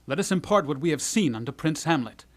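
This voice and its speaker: A man's voice: fairly high pitch, expressive, fast talker. A man playing a prince's earnest, loyal friend in a theatrical Shakespearean puppet play, respectful in manner. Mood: awed. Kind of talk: theatrical Shakespearean stage acting for puppet show. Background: loud music.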